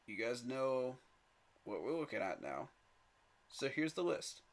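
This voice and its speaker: A man's voice: mid-range voice, sing-song, unhurried. A man hosting a live sports fan stream, talking straight to his chat in an emphatic, pleading way and chuckling at rival fans.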